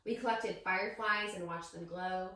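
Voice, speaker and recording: A woman reading a picture book aloud to young children as a teacher, with expressive storytelling and questions to her listeners. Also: mid-range voice, moderate pace. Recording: very echoey room, distant microphone.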